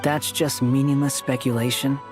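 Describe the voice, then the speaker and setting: A man performs an aloof young male wanderer from a fantasy game. He is blunt and cynical, with a dry, dismissive delivery at a middle pitch. Music plays behind him. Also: flat delivery, moderate pace, lightly acted. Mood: curt, dismissive. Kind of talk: scripted game dialogue acting.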